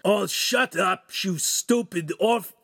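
A man voicing a rough, dazed and frightened young ruffian in an audiobook, groaning, stammering and blustering. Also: sits high, slow pace, a bit bright, dry recording, clean studio sound, voice pushed up, full character voice. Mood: groggy, angry. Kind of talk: audiobook character voice.

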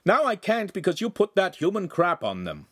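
Shrill, yelling neighbor in a fury, played by a male narrator, voice pushed very high. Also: moderate pace, creaky, husky, no room sound, studio quality, heavily acted. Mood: outraged. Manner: outraged, yelling. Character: angry shouting male neighbor.

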